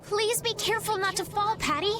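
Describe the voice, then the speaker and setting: A woman playing a gentle, sweet, earnest young noblewoman in an English game dub, polite and tender. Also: extremely high pitch, a little fast, music in the background.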